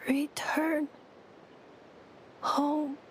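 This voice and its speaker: A woman voicing a dazed, single-minded humanoid robot in a video game, speaking in halting, whispery fragments. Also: high voice, flat delivery, slight background noise, low-quality recording. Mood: dazed, searching. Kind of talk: video game character acting. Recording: studio voice over game music.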